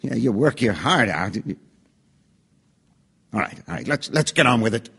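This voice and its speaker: A man's voice: medium pitch, lively intonation, moderate pace, gravelly, a bit bright, slightly rough, full character voice. A man playing a bitter, pushy middle-aged father serving on a jury, in an audio theatre drama.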